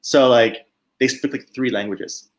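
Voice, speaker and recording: A man's voice: mid-range voice, measured pace, a loud crowd. A young adult man from Quebec chatting casually with a friend, with loose talk and many fillers. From Quebec, Canada. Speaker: co-host friend. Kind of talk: casual chat.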